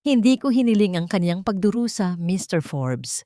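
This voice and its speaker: A woman's voice: mid-range voice, big pitch swings, normal speed, mellow, dry recording, clean studio sound, lightly acted. A woman voicing a wounded, proud mother and former housemaid in an audio drama story, firm and dignified.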